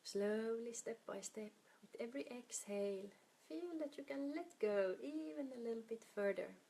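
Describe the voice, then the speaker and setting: A woman leading a guided meditation in a soft, soothing, unhurried manner, her breathy voice often trailing off toward a whisper. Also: a little high, lively intonation.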